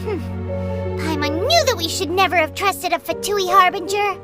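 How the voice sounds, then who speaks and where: A woman voicing a tiny, cheeky, suspicious fairy companion in a video game, squeaky and childlike, talking about herself by name. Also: normal speed. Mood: suspicious, snide. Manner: refers to herself by name, sassy. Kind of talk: video game character acting. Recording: loud music behind the voice. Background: music.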